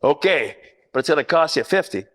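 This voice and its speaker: An actor playing a wisecracking, world-weary 1940s private eye, narrating in a wry, hard-boiled radio-parody style. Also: male voice, on the high side, expressive, fairly quick, lightly acted. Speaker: actor narrating the lead part. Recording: long hall reverb, music underneath.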